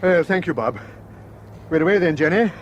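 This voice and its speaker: A man's voice: a little high, lively intonation, moderate pace, highs cut off, a little hiss or hum, natural voice. An actor playing a genial older island father in a radio drama. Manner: genial, easygoing.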